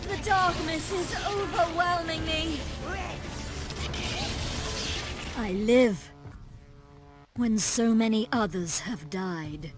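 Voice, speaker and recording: A woman's voice: on the high side, slow pace, over music. An actress playing a solemn, remorseful queen in a video game, speaking in a regal, grieving manner. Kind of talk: video game cutscene voice acting.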